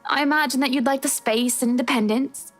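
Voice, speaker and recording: A high-pitched game heroine, a wife who speaks politely and carefully with a hesitant edge. Music plays clearly behind her lines. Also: steady tone, fairly quick. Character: wife gently asking her husband for a separation. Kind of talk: visual novel voice acting.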